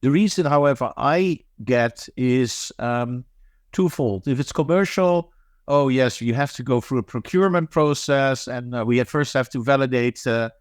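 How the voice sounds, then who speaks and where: Man, resonant clear voice, halting interview answers, fillers and restarts. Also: medium pitch, no room sound, clean studio sound. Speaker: guest, managing director of an anti-scam nonprofit. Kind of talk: interview answers, thoughtful and hesitant.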